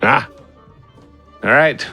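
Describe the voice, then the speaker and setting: A man playing a tabletop roleplaying game, speaking for his character in a casual, halting way. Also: pushed and bright, soft background music.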